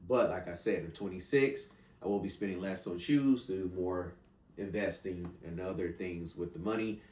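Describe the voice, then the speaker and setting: A man's voice: deep voice, normal speed, roomy sound, recorded from a distance. A man in his thirties with a stuffy, congested voice, rambling casually through a sneaker review from home. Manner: rambling, relaxed and chatty. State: congested with a cold.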